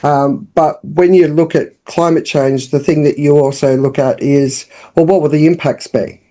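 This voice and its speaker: A woman with an Australian accent, a founder speaking as a podcast guest in a frank, lively, conversational way. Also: sits low, moderate pace. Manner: lively and frank, with frequent restarts and fillers. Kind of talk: casual interview talk.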